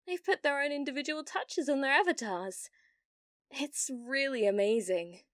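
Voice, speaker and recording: A woman's voice: sits high, a bit bright, dry recording, clean studio sound. A British woman voicing a delusional, needy, obsessive young gamer in love, in an ASMR roleplay. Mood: sweetly unhinged. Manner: breathy giggles, slow dreamy delivery with sudden intense bursts. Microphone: close studio-style mic, dry.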